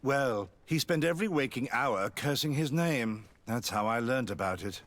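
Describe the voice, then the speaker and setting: A man's voice: mid-range voice, expressive, normal speed, a bit bright, lightly acted. A man playing a bitter, overworked alchemist's employee in a video game. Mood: disgruntled.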